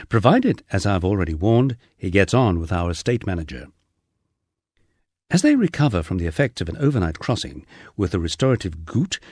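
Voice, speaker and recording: A man narrates a humorous memoir in a low voice with very lively, swooping intonation. The delivery is scripted storytelling, recorded very dry and close. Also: breathy edge, dry recording. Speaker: audiobook narrator.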